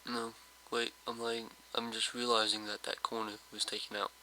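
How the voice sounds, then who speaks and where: A man who sounds slightly hoarse from just waking up, giving casual, rambling commentary over his gameplay with lots of hesitations and fillers. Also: mid-range voice, little pitch movement, normal speed, sharp tone, slight background noise, poor audio. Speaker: let's play host.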